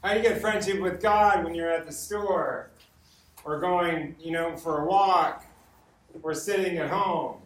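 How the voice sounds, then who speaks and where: A man's voice: a little high, a little slow, a bit bright. An American man giving an informal sermon with personal stories, conversational and repeating words for emphasis. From Los Angeles, United States. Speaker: guest preacher. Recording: roomy echo, distant from the mic.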